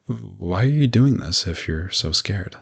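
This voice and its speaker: A man playing a polite, reassuring modern vampire in a roleplay audio drama, talking gently and matter-of-factly to the listener. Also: lowish voice, lively intonation, measured pace, vocal fry, slightly rough, exaggerated voice. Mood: curious.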